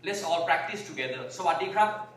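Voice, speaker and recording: A man with a Thai accent giving a slow, deliberate talk on a conference panel, sometimes repeating his words. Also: on the high side, animated, a bit bright, slight background noise. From Thailand (Bangkok). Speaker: panel speaker, vegan activist. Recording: echoey hall, mic heard from far.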